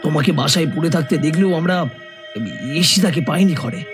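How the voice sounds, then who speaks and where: A man's voice: on the high side, normal speed, music in the background, close to an everyday voice. A man voicing a practical, dismissive middle-aged village father in a horror cartoon. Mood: concerned.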